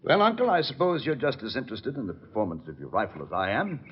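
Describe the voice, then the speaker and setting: An actor playing a ruthless traitor nephew in a radio drama, commanding and mock-polite. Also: male voice, medium pitch, huge pitch swings, fast talker, slight background noise. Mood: smug, mock-polite. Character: smooth-talking, ruthless traitorous nephew holding a gun. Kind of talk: old-time radio drama acting. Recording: muffled old radio recording.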